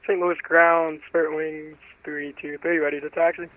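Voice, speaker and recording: A man's voice: fairly high pitch, flat delivery, moderate pace. A man playing an airline pilot in a flight simulation, speaking in radio readbacks to ground control. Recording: tinny, dry phone-like radio transmission.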